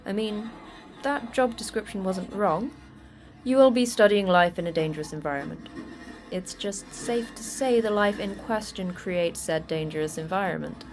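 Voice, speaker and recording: A woman playing a terse, teasing manager of a secret monster facility in a fiction podcast, with a brisk, dry, flippant delivery. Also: mid-range voice, soft background music, natural voice. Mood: dry, matter-of-fact. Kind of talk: audio fiction podcast acting.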